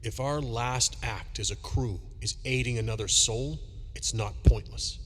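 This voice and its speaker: A woman's voice: rumbling low, a little slow, slight background noise. A woman playing a loyal, practical starship pilot in an audio drama, sincere and urgent.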